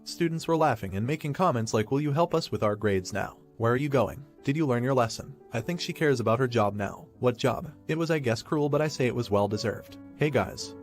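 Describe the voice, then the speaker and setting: Brief: male narrator, fast steady read-aloud delivery, soft music underneath. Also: mid-range voice. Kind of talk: reading Reddit stories aloud.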